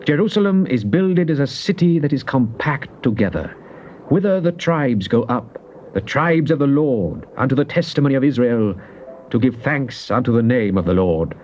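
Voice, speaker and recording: A man's voice: mid-range voice, lively intonation, moderate pace, a little mellow, close to an everyday voice. Devout psalmist played by a male actor, solemn and theatrical scripture delivery, muffled cassette sound with hiss. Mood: joyful, reverent. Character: devout psalmist pleading and praising God. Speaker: scripture reader. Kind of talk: dramatized King James Bible reading. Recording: dull, noisy old cassette tape.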